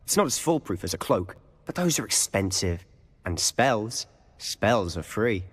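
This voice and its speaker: An actor playing a cocky young wizard student with a smooth, conspiratorial, self-assured manner. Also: male voice, fairly low pitch, very animated, measured pace, big-room sound, exaggerated voice. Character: cocky teenage wizard student, scheming, confident. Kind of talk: video game cutscene acting.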